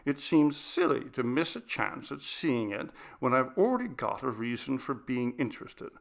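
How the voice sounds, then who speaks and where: Man reading as a curious, stubborn woman, gravelly edge. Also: medium pitch, sing-song, moderate pace. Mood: self-persuading resolve. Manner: self-persuading, firm. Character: curious, stubborn woman talking herself onward. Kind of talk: audiobook character dialogue.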